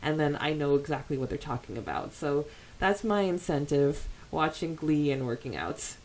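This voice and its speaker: Low-pitched woman chatting casually. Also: animated, normal speed, a little mellow, a little hiss or hum, poor audio. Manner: informal, giggly, frequent "like". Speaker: podcast co-host. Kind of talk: casual chat, reading out listener comments.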